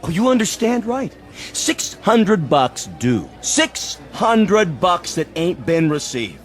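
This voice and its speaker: Pushy landlord, highish lively voice. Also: male voice, slow pace, faint music behind, full character voice. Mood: stubborn, demanding. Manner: blunt, streetwise, pushy. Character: gruff, impatient hall landlord demanding rent. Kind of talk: radio drama acting.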